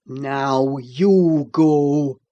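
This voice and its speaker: A woman's voice: sits low, steady tone, measured pace, mellow, muffled recording, pitched below the performer's own voice. Woman playing a raging, brooding male master. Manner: harsh, threatening, commanding. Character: furious brooding middle-aged male master.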